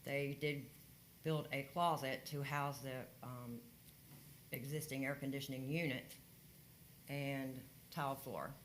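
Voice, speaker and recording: A man's voice: medium pitch, steady tone, measured pace, a bit bright, a little hiss or hum. A man working as a code enforcement officer, reporting his findings at a county hearing in a plain, matter-of-fact way with frequent fillers.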